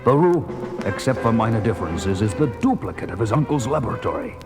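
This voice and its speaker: An actor as a portentous storyteller narrating a gothic horror tale on a 1970s audio drama. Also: male voice, mid-range voice, sing-song, brisk, natural voice. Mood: ominous. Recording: dramatic music under narration.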